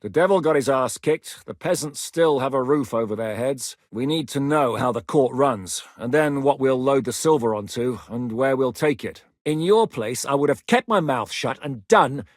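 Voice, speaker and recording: An actor playing a gruff, blunt medieval war captain who shouts battle orders. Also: male voice, mid-range voice, lively intonation, moderate pace, few pauses, dry recording, studio quality, close to an everyday voice. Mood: dry, blunt. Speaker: military commander. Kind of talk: game voice lines.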